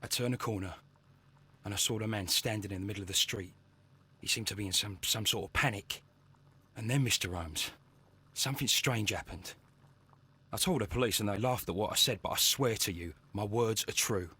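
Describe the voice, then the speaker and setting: A man's voice: lowish voice, fairly quick, pushed and bright, slightly rough. A man playing an anxious young working-class Victorian with a London accent in a video game, earnest and stammering as he speaks. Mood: shaken, earnest. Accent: English, London. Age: young adult.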